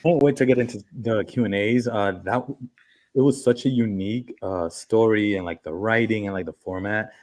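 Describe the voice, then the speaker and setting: A man moderating a book festival panel, casual and hesitant, full of ums and restarts. His voice sits a bit low, steady and conversational. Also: moderate pace. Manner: hesitant, filler-heavy.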